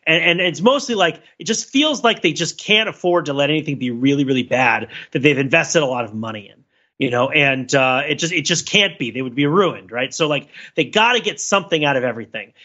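A man hosting a podcast, talking through ideas in a casual, analytical and halting way, often checking in with "right?". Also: on the high side, expressive, pushed and bright, highs cut off. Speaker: podcast host.

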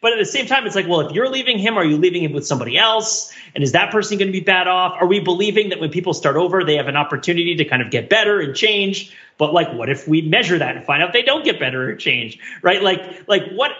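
A man with a fairly high, bright and punchy voice chats as a podcast co-host. His delivery is rambling and jokey, in casual comedic discussion. The sound is roomy and a little muffled. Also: expressive, fast talker, hardly any pauses. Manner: rambling, jokey, frequent laughing.

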